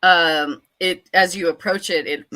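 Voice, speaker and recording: Playful low-voiced woman running a tabletop game. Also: fairly bright tone, a little hiss or hum. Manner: playful, with dramatic descriptive asides. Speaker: game master. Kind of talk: improvised tabletop game narration.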